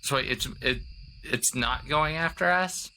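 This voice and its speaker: A man's voice: mid-range voice, a little slow, sharp tone, poor audio. A man chatting casually as a player in a tabletop roleplaying game, slipping into in-character asides.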